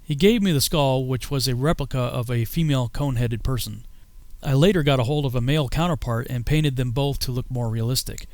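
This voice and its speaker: A man with an American accent narrates his own story in a scripted documentary style. His mid-pitched voice sits in a close, dry recording with no room sound. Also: a little fast, hardly any pauses, a little mellow, no room sound. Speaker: filmmaker and author narrating his own journey.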